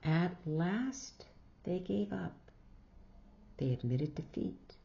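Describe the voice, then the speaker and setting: A woman tells a children's story in a slightly low voice. Her theatrical delivery is very slow with lively intonation, and the recording is a little dull. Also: gravelly, slight background noise. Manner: theatrical, exclaims reactions between lines. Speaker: storybook reader. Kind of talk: expressive read-aloud storytelling for children.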